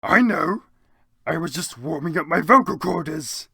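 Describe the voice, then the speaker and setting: A slow, gravelly goth wizard, angry and brooding. He declaims melodramatically in a strained, husky voice with a forceful, sharp edge. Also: on the high side, lively intonation, no room sound, studio quality, voice pushed up, heavily acted. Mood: indignant.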